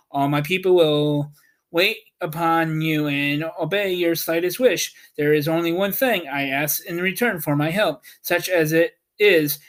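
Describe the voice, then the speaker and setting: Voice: mid-range voice, close to an everyday voice. A reader voicing a meek, kindly little man who is a humbug wizard, apologetic and reassuring, in a storybook. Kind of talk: storybook character reading.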